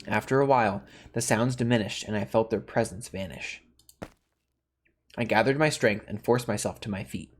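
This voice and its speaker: A man hosting a gaming video, reading a visual novel's narration aloud and dropping in casual asides. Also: lowish voice. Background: faint music.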